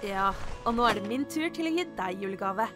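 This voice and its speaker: A woman's voice: mid-range voice, animated, fairly bright tone, faint music behind, natural voice. A woman playing a down-to-earth, dryly kind office worker in an anime fan dub. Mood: sincere.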